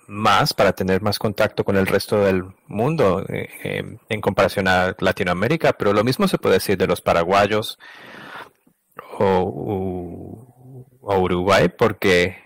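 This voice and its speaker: A man with a fairly low voice works as an interpreter, relaying an interview as it goes. His delivery is halting, with stops, restarts and self-corrections. The sound is dry and close, with little room around it. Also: vocal fry, no echo. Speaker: interpreter voicing a translated conversation.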